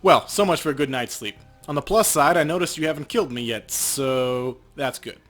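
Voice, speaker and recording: A man's voice: a little high, brisk, a bit bright, faint music behind, close to an everyday voice. A man voicing a laid-back, cocky male street shaman from a cyberpunk game in a wry, casual way.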